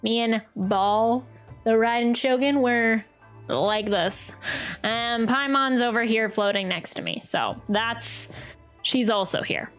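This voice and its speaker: A woman giving casual, playful game commentary in a fairly high voice. She is chatty and unhurried, with game music playing behind her. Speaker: game streamer reacting to a playthrough.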